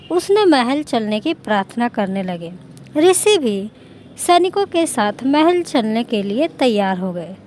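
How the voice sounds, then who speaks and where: A woman reading a scripted moral story as a narrator. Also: a little high.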